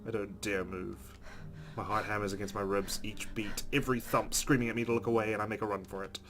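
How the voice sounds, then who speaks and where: A man's voice: lowish voice, level tone, fast talker. A man hosting a let's play, reading story text dramatically and adding casual commentary.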